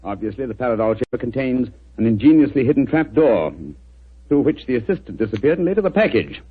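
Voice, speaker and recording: Self-assured detective, lively quick delivery, thin husky old broadcast. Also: male voice, medium pitch. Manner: brisk, self-assured, dismissive. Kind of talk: 1940s radio acting. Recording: tinny husky 1940s radio broadcast.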